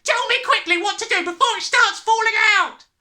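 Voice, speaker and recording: A woman voicing a blustering, panicky, vain middle-aged British father who yells his lines in a storybook. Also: extremely high pitch, normal speed, pitched above the performer's own voice, heavily acted. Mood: wailing panic. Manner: yelling, blustering, exclamatory.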